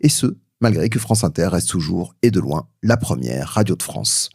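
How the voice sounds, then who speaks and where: A man's voice: low voice, big pitch swings, normal speed, darkish tone, slightly rough, no echo, studio quality. A man hosting a podcast, reading a scripted introduction and news update. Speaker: podcast host presenting news.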